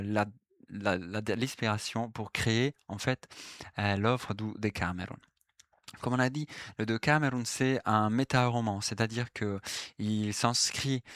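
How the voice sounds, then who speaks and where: Italian-accented man, lowish smooth voice, slow and hesitant delivery with many fillers, explaining a topic in class. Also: fairly bright tone, no room sound, clean studio sound. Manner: apologetic, polite. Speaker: presenter giving a class talk. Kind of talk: explanatory talk on literary history.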